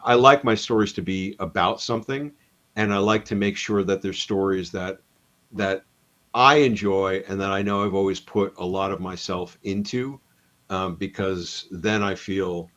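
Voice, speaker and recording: A comic book writer being interviewed, speaking with a fairly low voice. He reflects as he goes, stacking asides and "you know"s. His tone is casual and conversational. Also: fairly bright tone.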